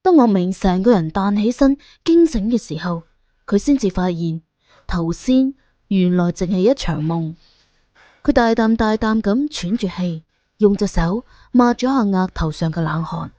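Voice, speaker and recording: A woman's voice: mid-range voice, expressive, a little slow, warm tone, dry recording. A woman narrating an audiobook in a colloquial storytelling style.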